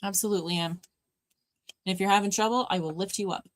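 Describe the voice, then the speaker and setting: A woman with a deep voice hosting a live watch party, chatting casually and answering viewers' comments by name. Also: steady tone, quick pace, no echo. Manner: chatty, warm replies to chat, addresses viewers by name. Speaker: livestream host.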